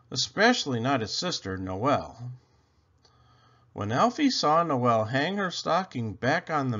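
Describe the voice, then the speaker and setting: A man reading a children's picture book aloud, expressive and deliberate. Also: fairly high pitch. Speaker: storybook reader. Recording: dull lo-fi recording.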